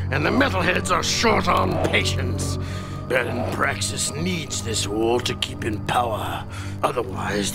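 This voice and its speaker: An actor playing an obese, scheming male crime boss with a gravelly, sly voice that drawls and tags lines with a coaxing "eh?" in a video game cutscene, loud music behind. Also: mid-range voice.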